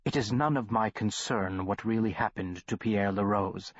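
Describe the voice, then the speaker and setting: A man's voice: mid-range voice, normal speed, dry recording, close to an everyday voice. An imperious, curt scientist, as a male narrator puts him on, clipped and indignant. Dry, slightly dull audiobook sound. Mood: impatient.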